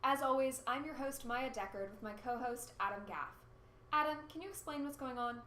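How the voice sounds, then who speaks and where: A woman's voice: sits high, a little fast, a bit bright. A woman acting as a cynical, irritable female radio co-host in an amateur radio play, with an exasperated, sardonic manner. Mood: presenting. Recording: roomy echo, faint background noise.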